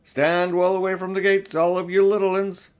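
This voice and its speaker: A man voicing a huge, polite, slow-witted giant who talks in a colloquial, deferential way for a storybook. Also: high voice, little pitch movement, smooth, pitched above the performer's own voice, lightly acted. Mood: booming warning.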